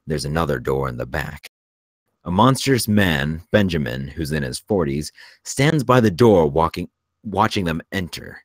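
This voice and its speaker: A man's voice: very deep voice, expressive, unhurried, no echo, studio quality. A man narrating the stage directions of a screenplay at a table read.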